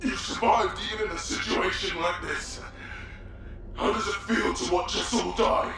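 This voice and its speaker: An actor playing a distraught young male soldier coming unraveled, with slow, theatrical, creaky delivery in a video game drama. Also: fairly even intonation, bright, forceful tone, a little hiss or hum, heavily acted. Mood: tormented, mocking. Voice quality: creaky, high-pitched. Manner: slow, theatrical, swinging widely in pitch. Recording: distant, very echoey, music behind.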